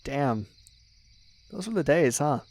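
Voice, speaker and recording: A man's voice: a little high, animated, slow, darkish tone, heavily acted. A young man playing a teasing, loyal best friend in a one-sided casual roleplay, chatty and slangy with little hesitations. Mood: admiring, nostalgic.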